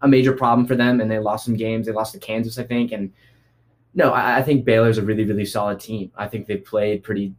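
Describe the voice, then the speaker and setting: Man giving measured sports opinions. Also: on the low side, fairly even intonation. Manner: thoughtful, hedging with "I think". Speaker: panelist. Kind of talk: casual sports chat.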